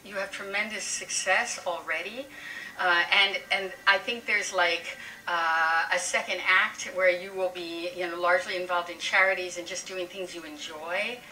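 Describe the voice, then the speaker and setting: A woman's voice: fairly low pitch, fairly even intonation, moderate pace, bright, forceful tone. A woman psychic giving a reading, earnest and emphatic as she reassures. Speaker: psychic reader. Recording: voice from a distance, music behind.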